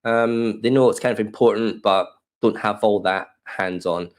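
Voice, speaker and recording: A man in his fifties with an Australian accent presenting a live online workshop from his home office over a webcam call. He sounds a little croaky from a cold and talks in a loose, welcoming way with plenty of fillers. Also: lowish voice, steady tone, normal speed. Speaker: webinar presenter. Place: home office in a desk chair. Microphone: webcam video call.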